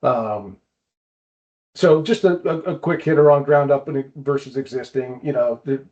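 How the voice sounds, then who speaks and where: Male podcast host, medium pitch, halting casual explainer who repeats words, slightly lo-fi recording. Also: darkish tone. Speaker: host, climbing wall builder.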